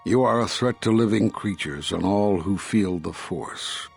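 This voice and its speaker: A solemn Jedi master in a game drama. He speaks in a grave, formal, measured way, with music behind him. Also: on the low side, gravelly, slightly rough, heavily acted. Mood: grave, resolute. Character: stern, solemn male Jedi master passing judgment. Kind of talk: video game drama acting.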